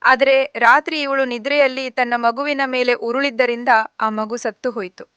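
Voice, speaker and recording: A woman's voice: a little high, steady tone, normal speed, bright, forceful tone, clear, resonant tone, no echo, dull audio. A woman voicing a scheming, insistent false mother. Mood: accusing. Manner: insistent, accusing.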